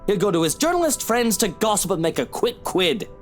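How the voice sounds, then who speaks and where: Sarcastic, hungover husband, pitch swooping. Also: male voice, big pitch swings, brisk, faint music behind. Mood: suspicious, needling. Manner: mocking endearments, needling, theatrical. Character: hungover drinking husband, jealous, sarcastic, quarrelsome man. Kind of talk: visual novel game voice acting.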